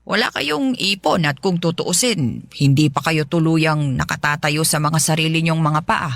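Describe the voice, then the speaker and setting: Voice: medium pitch, moderate pace, a bit bright, no room sound, studio quality, close to an everyday voice. An actor playing a stern parent who reluctantly accepts a child's marriage, in Tagalog radio drama style. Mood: resigned, reluctant. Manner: grave, admonishing.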